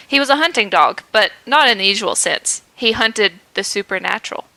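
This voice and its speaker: Bright-voiced woman reading a comic story. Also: mid-range voice, clean tone, no echo. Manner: playful, laughs at the text. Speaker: podcast host.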